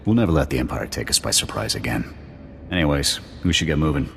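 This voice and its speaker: An actor playing a seasoned, blunt army sergeant in a video game, with a deep voice and a gruff military manner. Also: male voice, expressive, fast, full character voice. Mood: reflective. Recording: long reverb with faint noise.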